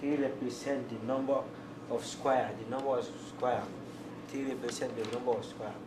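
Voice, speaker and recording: A male student explaining a math rule in halting, non-native English. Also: medium pitch, fairly even intonation. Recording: distant, echoey classroom with noise.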